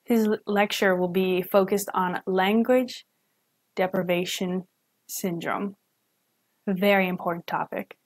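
A young woman, a student interpreter, voicing a signed lecture live at home into a webcam, pausing and correcting herself as she goes. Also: mid-range voice, flat delivery. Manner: halting, with self-corrections and restarts. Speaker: student sign language interpreter.

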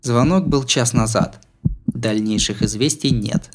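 A man's voice: medium pitch, unhurried. A man reading a novel aloud as an audiobook narrator, in a dramatised scripted style. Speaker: audiobook reader.

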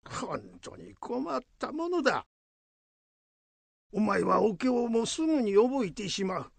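A man's voice: sits high, big pitch swings, slow, gravelly, slightly rough, no echo, exaggerated voice. A man playing an old Buddhist head priest in a radio drama, fussy but fond, with grumbling, old-fashioned elderly speech. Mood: exasperated.

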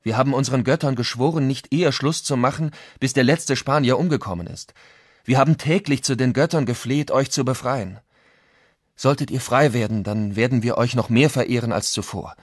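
A man's voice: mid-range voice, brisk, slightly rough, no room sound, clean studio sound, close to an everyday voice. A man playing a Spanish conquistador who recounts events in a formal memoir for an audio documentary. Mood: solemn, pleading. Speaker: reader of historical quotations. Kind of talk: dramatic reading of old chronicles.

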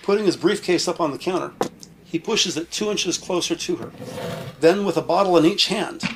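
A man's voice: high voice, normal speed, very noisy. A man narrating a scripted audio drama in descriptive prose. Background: background music.

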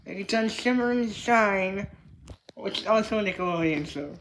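A woman chatting casually and unscripted about toys, in a halting, repetitive way that trails off. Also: medium pitch. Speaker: toy reviewer. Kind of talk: casual unscripted toy review chat. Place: indoor room with hard tiled floor. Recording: echoey room, background noise, clicks.

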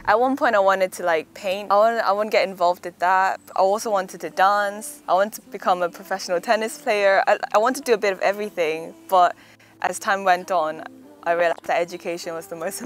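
Young woman, relaxed interviewee, slight American lilt, lowish voice, faint music under her. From Hong Kong. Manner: chatty, reflective, laughs easily. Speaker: interviewee, singer-songwriter. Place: outdoors in a back garden.

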